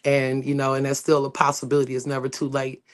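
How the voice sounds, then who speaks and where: A woman's voice: very deep voice, monotone, fast talker. A woman hosting a casual live online panel over a video call, talking loosely and often restarting her sentences. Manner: loose, rambling, with frequent restarts. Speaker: panel host.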